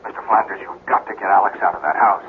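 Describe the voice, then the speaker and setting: An actor playing a shaken man who speaks in urgent, hushed warnings in a radio drama. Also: deep voice, fast. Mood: urgent, apologetic. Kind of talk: 1970s radio drama acting. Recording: thin phone-like old radio recording, hiss.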